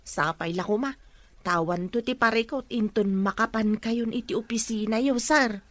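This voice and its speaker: High-pitched deferential Ilocano house helper, played by a woman. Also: normal speed, dry recording, close to an everyday voice. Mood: respectful. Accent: Ilocano Filipino. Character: respectful, anxious female household helper, an aunt. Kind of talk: Ilocano radio drama acting.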